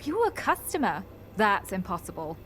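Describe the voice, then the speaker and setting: An actress playing a kindly, brisk and slightly awkward café waitress in a radio soap. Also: female voice, mid-range voice, wildly swooping pitch, fairly bright tone, faint music behind. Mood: brisk, friendly.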